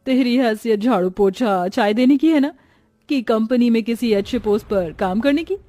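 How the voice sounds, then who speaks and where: A woman's voice: a little high, normal speed, dark tone, dry recording, faint music behind, lightly acted. A woman voicing an arrogant rich young office worker in a cartoon moral story, haughty and scolding. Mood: scornful, angry.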